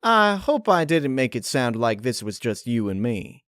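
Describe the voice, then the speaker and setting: A man voicing a cunning, smug female unicorn villain in a dark story, talking in a coolly probing way. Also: medium pitch, very animated, measured pace, no room sound, studio quality, voice pushed up.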